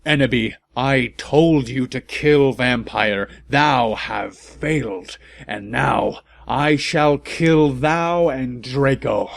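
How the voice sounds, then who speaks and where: A man voicing a menacing, pompous dark lord villain from fan fiction, angsty and grandiose. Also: medium pitch, takes it slowly, gravelly, few pauses. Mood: menacing, angry.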